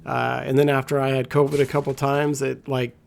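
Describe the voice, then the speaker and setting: A man with a scratchy, gravelly voice giving laid-back, rambling commentary as a gameplay guide. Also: medium pitch, level tone, brisk. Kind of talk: casual walkthrough commentary.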